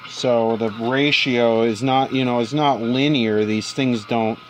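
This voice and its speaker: A man's voice: mid-range voice, steady tone, unhurried, poor audio. A man casually explaining a hands-on radio repair step by step, like a technician showing someone the job. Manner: explains step by step, pointing things out. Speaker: radio repairer demonstrating alignment. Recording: background noise, live workbench recording. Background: noise of a radio being worked on.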